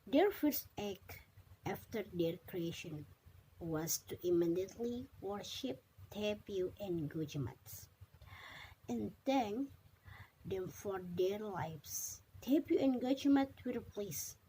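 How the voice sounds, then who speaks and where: A young woman reading a story aloud as a student narrator, with halting, deliberate phrasing and some mispronounced words. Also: mid-range voice, expressive, dark tone, slight background noise, rough recording. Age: young adult.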